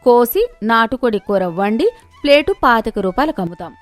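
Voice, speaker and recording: A woman's voice: fairly high pitch, very animated, normal speed, faint music behind. A woman voicing a feisty, proud village wife and cook with an assertive, indignant manner. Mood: angry, proud.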